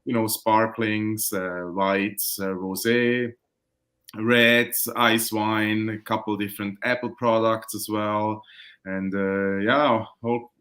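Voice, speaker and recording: Swiss winemaker on a video call. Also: male voice, fairly low pitch, takes it slowly. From Switzerland. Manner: relaxed, rambling, self-correcting. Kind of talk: casual video call interview. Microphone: webcam and computer mic on a video call.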